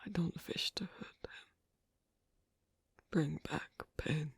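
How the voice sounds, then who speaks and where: A man playing a gentle, worried royal of a fantasy kingdom in an ASMR story roleplay, speaking in a breathy, husky whisper with halting, formal phrasing. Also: a little high, fairly even intonation, dry recording, studio quality, full character voice. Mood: pained, concerned.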